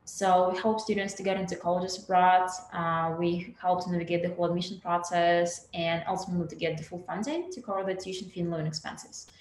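A woman's voice: lowish voice, level tone, a little fast, hardly any pauses, a little mellow, a little hiss or hum. A young adult woman from Russia with a Russian accent, a startup founder speaking casually on a video call panel, with lots of "like" and "uh". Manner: chatty, many fillers like "like" and "uh". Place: indoor room at home. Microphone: laptop webcam mic on video call. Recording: roomy video call audio, faint ambience.

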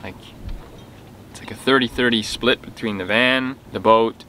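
A young man co-hosting a sailing vlog, calmly explaining things to camera with dry little quips. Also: medium pitch, moderate pace, a little hiss or hum. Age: young adult. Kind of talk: casual chat to camera. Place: outdoors in a sailboat cockpit at anchor.

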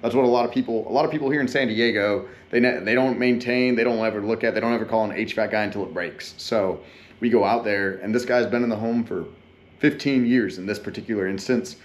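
A man's voice: on the low side, fast talker. A young man, an HVAC technician, explaining casually in a rambling way with many fillers and restarts. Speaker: HVAC technician and channel host. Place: backyard beside an AC unit, later a small office.

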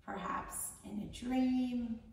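A woman leading a guided meditation in a soothing, gentle, measured manner with long pauses. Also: fairly high pitch, steady tone, gravelly. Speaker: meditation guide, founder of a yoga charity. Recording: echoey room, distant mic, faint noise.

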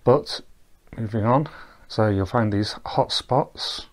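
A man giving a relaxed, rambling voice-over walkthrough of a virtual boat tour, with frequent ums and restarts. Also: on the low side, slow. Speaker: tour guide. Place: recorded while wearing VR goggles, not on the boat.

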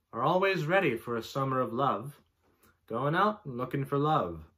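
A man in his twenties giving a mock-dramatic poetry reading in a pompous, deliberate way, recorded in a bedroom a short distance from the mic. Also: mid-range voice, a bit bright. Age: 20s. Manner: theatrical, deliberate, pompous delivery. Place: small bedroom, indoors.

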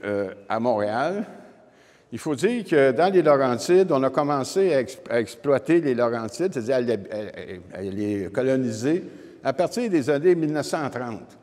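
A man's voice: medium pitch, big pitch swings, normal speed, darkish tone. Older Québécois man lecturing in a reverberant hall. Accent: Quebec French. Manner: explanatory, addresses the audience directly. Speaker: historian giving a public lecture. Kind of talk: informal history lecture with slides. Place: indoor lecture room with wood panelling. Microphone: handheld microphone.

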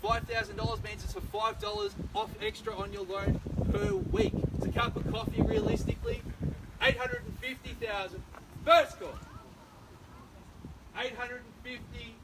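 An Australian man in his thirties working as an auctioneer, running a live property auction with brisk, persuasive sales patter on a suburban street. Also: very high voice, very animated, creaky. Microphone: far camera, no microphone. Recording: distant outdoor camera audio, loud street noise.

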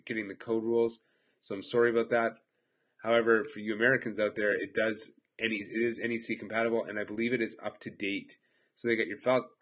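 Stuffed-up man in his forties, lowish voice, upbeat, chatty, webcam in home office. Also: a bit bright. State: stuffed up from a cold. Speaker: electrical instructor. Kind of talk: casual live webcam talk. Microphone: laptop webcam at desk.

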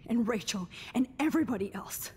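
An actress playing an earnest, defiant captive teenage girl in a video game, with a husky, strained delivery. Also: on the high side, lively intonation, fairly quick, dark tone, a little hiss or hum, lightly acted. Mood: bitter, defiant.

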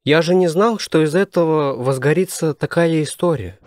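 A man's voice: on the high side, moderate pace, slight background noise, voice pushed up, lightly acted. A man voicing a brash, flippant schoolboy son in an audiobook comedy. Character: cheeky, slangy schoolboy son.

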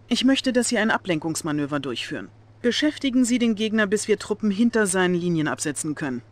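A woman's voice: on the low side, natural voice. A woman plays a young Soviet intelligence officer for a game cutscene. She sounds cool and stern, with a controlled, authoritative delivery. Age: young adult. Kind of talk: video game cutscene acting.

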